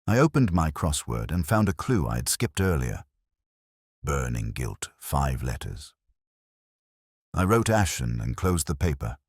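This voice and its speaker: Very deep-voiced male narrator, measured. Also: sing-song, husky, no room sound, clean studio sound. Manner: measured, literary, reflective. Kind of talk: first-person detective story narration.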